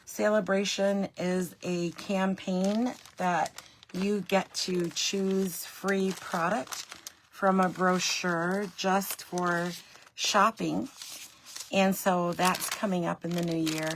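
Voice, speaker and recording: A middle-aged woman with a slightly raspy, scratchy voice, chatting as she hosts a live craft tutorial from her home craft room and greeting viewers by name. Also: fairly low pitch. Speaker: craft demonstrator and host.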